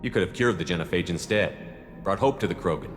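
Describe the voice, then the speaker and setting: A man's voice: deep voice, quick pace, natural voice. A man acting as a blunt military commander in a video game, direct and probing, challenging whoever he addresses. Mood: confrontational. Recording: long reverb with music underneath.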